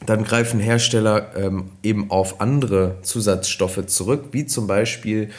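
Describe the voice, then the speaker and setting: A man, a nutrition expert co-hosting a podcast, explaining casually with many fillers, slightly hoarse from a cold. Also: on the low side, unhurried.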